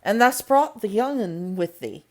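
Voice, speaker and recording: A woman voicing a burly, good-natured male station master in a storybook, in a rough manner with an attempted broad Yorkshire accent. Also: mid-range voice, animated, measured pace, close to an everyday voice.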